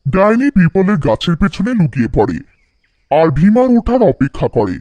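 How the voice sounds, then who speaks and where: A man's voice: high voice, sing-song, normal speed, warm tone, dry recording, muffled recording. A man narrating a scripted cartoon folktale for children.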